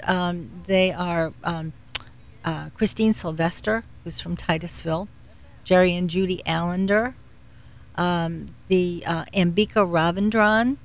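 A middle-aged woman with a fairly low-pitched voice speaks as a radio interview guest. She explains in a measured manner, often hesitating as she looks for the next word. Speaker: guest, philanthropy executive.

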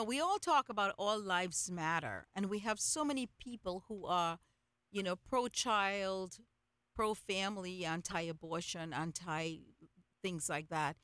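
A woman's voice: mid-range voice, fairly bright tone, dry recording, clean studio sound. A woman hosting an interview, reflecting at length before she asks her guest questions. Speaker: host. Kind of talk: interview conversation.

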